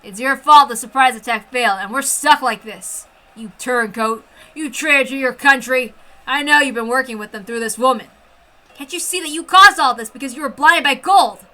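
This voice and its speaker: A woman's voice: high-pitched, normal speed. Woman acting a menacing, greedy male officer. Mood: accusing, menacing. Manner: menacing, accusatory shouting. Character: brutal, greedy Japanese military officer, adult man.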